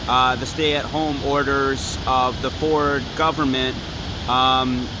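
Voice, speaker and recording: A man conducting an informal field interview, asking questions in a halting, tentative way with many pauses. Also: mid-range voice, flat delivery. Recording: clear outdoor background noise.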